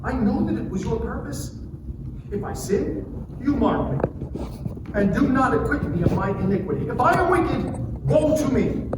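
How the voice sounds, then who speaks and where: A man's voice: very high voice, animated. Distant echoey recording, anguished defiant sufferer acted by a man, declamatory, impassioned, dramatic scripture reading. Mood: anguished pleading. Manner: declamatory, impassioned, with drawn-out phrases. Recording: distant, very echoey, noisy live room.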